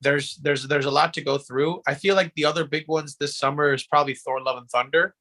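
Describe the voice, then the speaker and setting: A man hosting a podcast, explaining things casually and haltingly, often repeating words as he goes. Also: a little high, fairly even intonation, sharp tone. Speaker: podcast host.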